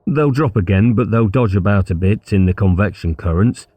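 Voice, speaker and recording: A man's voice: on the low side, expressive, moderate pace, warm tone, no echo. A man reading an audiobook as a mischievous schoolboy, eager and conspiratorial, talking casually about schemes. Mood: keen, scheming.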